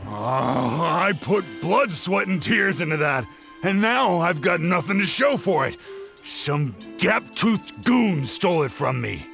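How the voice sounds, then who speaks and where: Casting: middle-aged village uncle in an anime game; creaky, fairly high voice, earnest and talkative. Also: male voice, slow pace, faint music behind, heavily acted. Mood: aggrieved. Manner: earnest, expressive.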